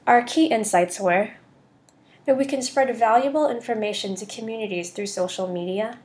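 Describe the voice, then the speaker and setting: A young woman delivers a prepared presentation in a slightly high voice. It sounds like a student reading out points one by one for a voice-over. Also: moderate pace, darkish tone.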